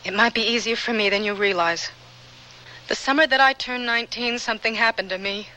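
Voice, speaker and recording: Troubled young woman, fairly high bright voice, earnest, sensitive, radio drama. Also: fairly quick, poor audio. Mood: confessional. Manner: earnest, emotional delivery.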